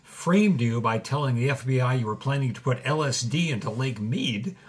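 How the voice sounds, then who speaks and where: An actor playing an earnest documentary host, introducing guests and clarifying details in scripted style. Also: male voice, medium pitch, animated, normal speed, natural voice. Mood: measured, informative. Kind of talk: scripted hosting in an audio drama.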